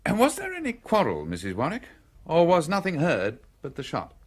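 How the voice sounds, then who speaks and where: A man's voice: on the high side, huge pitch swings, normal speed, breathy edge, full character voice. A man playing a brusque, confident British character in a radio play, terse and clipped. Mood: terse, cool.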